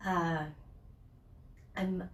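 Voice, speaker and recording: A woman with a stuffed-up summer cold teaching a yoga class at home, chatty at first and then giving slow, soothing cues. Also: on the low side, level tone, a bit bright, distant mic, slight background noise. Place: home, near a porch patio set. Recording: echoey room from off the mic.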